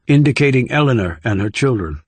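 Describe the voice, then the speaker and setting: A man's voice: medium pitch, expressive, fairly quick, no echo. A man reading scripted thriller narration as an audiobook narrator.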